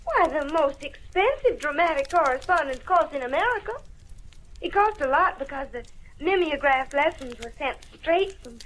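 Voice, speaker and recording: Very high-pitched, coy belle. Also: female voice, lively intonation, normal speed, a bit bright, poor audio. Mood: earnest explaining. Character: coy, flighty Southern belle wife, a murder suspect. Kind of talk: old-time radio mystery comedy acting. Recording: old radio recording with faint noise.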